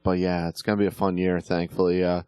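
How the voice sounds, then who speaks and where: A middle-aged man hosting a casual sports talk show, slightly hoarse, rambling with lots of fillers and restarts. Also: sits low, flat delivery, quick pace, a little mellow, no echo, studio quality. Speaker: podcast host.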